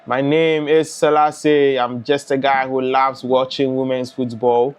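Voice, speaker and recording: A young man from Ghana hosting a live football watch-along, chatting and reading out viewer comments in a relaxed way. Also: medium pitch, a little slow, clean tone. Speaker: live stream host.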